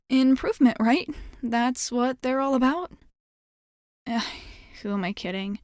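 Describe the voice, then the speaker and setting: A woman's voice: a little high, a little mellow, no echo, studio quality. A woman playing a nervous, blunt, sporty schoolgirl team captain in an ASMR roleplay, rambling and backtracking as she pleads with the listener. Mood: sheepish, hopeful, then dejected. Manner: rambling, halting, backtracking mid-thought.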